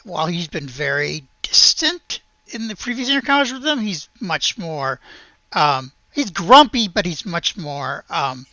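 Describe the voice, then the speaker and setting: Bright-voiced game master, muffled dry recording. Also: medium pitch, no echo. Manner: halting, conversational. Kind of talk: improvised tabletop game narration, casual.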